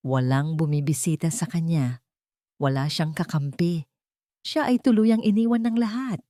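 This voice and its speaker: Female Filipino audiobook narrator for scripted drama, lower register, close dry sound. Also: normal speed, dark tone, no room sound.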